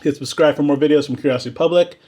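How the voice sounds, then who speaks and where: A man's voice: a little high, rapid-fire, warm tone, breathy edge. A man co-hosting a casual spirits review podcast, joking and laughing between his points. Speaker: podcast co-host.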